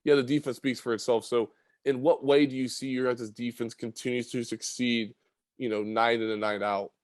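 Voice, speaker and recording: A man's voice: mid-range voice, little pitch movement, normal speed, no echo, clean studio sound. Chatty man hosting a sports podcast. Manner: chatty, filler-heavy questions. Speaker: podcast host.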